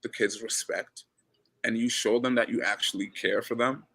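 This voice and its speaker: A man's voice: mid-range voice, normal speed, husky. A man from Ghana, a basketball coach, talking casually as a guest, repeating words as he goes. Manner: relaxed, repeats words, says he has a loud voice. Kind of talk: casual interview chat.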